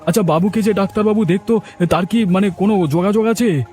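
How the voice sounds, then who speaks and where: An earnest, resolute village man acted by a man who champions the poor in a cartoon. His voice sits a little high and is emphatic, over faint music. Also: quick pace, warm tone, natural voice. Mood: worried. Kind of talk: cartoon dialogue.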